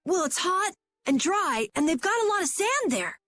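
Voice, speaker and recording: A high-pitched, very lively young boy acted by a woman from a video game. He is earnest and eager to explain, with a bashful streak of self-doubt, over faint music. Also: moderate pace, no room sound, full character voice. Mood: earnest, curious. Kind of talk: animated RPG video game dub. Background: faint background music.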